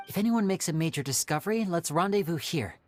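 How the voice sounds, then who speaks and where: A woman's voice: lowish voice, lively intonation, fast, a little mellow. A woman acting a character's dialogue in a video game.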